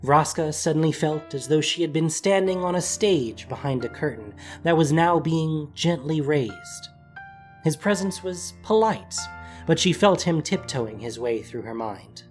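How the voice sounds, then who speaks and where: Audio drama narrator, mid-pitched voice, scripted prose delivery, faint music underneath. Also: expressive, measured pace.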